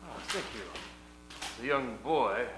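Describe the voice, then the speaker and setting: A man playing a fussy, apologetic French impresario with a French accent and a halting, theatrical delivery in radio comedy. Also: medium pitch, lively intonation, fairly bright tone, roomy sound, faint music behind, low-quality recording. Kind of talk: comic 1940s radio-play acting.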